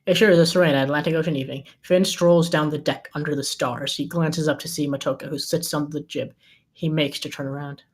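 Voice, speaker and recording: Screenplay narrator at medium pitch. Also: steady tone, a little fast. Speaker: stage direction narrator.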